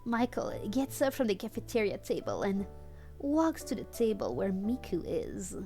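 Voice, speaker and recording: A woman's voice: medium pitch, sing-song, moderate pace, dark tone, soft background music. A woman streamer doing a comedic live reading of fan fiction, chatting to her audience in asides, with a giggly manner. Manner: giggly, often breaking into laughter while reading.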